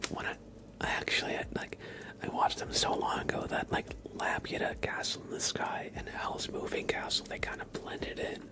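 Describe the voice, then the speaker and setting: A man doing casual ASMR chat in a hushed, mostly whispered voice, rambling gently. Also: normal speed, rough recording. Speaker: ASMR livestreamer.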